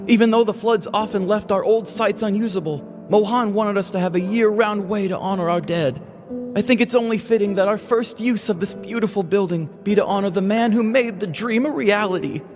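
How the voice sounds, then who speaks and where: A man's voice: sits high, fairly quick, a little mellow, faint music behind, lightly acted. A man playing a melodramatic, flippant villager in mourning in a comedic audio story. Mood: solemn.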